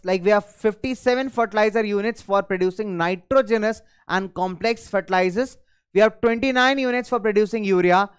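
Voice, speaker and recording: High-voiced young man teaching a class. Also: smooth. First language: Hindi. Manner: energetic, gestures at screen, thinks aloud. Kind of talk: recorded online class lecture. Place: studio in front of a large screen.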